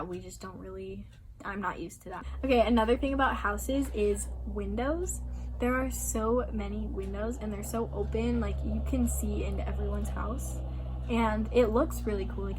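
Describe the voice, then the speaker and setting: A woman's voice: medium pitch, steady tone, moderate pace. A young American woman, an exchange student, chatting casually with many fillers and little laughs. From USA. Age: young adult. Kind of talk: casual chat. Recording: noisy home recording.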